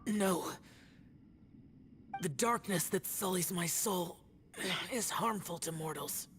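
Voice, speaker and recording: A woman's voice: on the low side, slow, slight background noise. A woman voicing a brooding, aloof immortal warrior youth in a fantasy video game, terse, grave and guarded. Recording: game music behind the voice.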